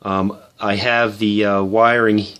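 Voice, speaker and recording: A man's voice: fairly low pitch, little pitch movement, takes it slowly, a bit bright, clear, resonant tone. A man giving a casual, unscripted hobbyist demonstration in a methodical, matter-of-fact, explanatory way. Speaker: hobbyist presenter showing a homemade radio power box.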